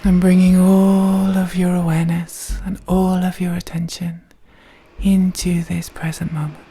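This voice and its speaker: A woman gently leading a guided meditation in a soft, breathy voice, speaking slowly and soothingly with long pauses. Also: on the low side, flat delivery. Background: soft meditation music.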